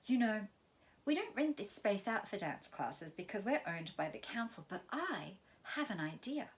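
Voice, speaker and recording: A woman reading aloud and voicing a former ballet teacher, gushing and warm. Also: medium pitch, big pitch swings, normal speed.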